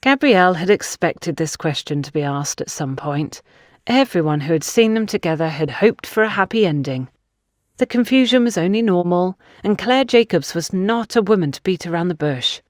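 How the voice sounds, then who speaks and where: Female audiobook narrator for fiction; lively intonation, very dry close sound. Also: on the low side, normal speed, no echo.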